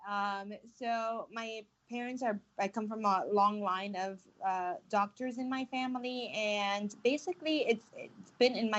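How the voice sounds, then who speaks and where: A woman in her thirties from Miami speaks as a doctor being interviewed. She answers conversationally in a mid-pitched voice, and the recording is a little dull and band-limited. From Miami, United States.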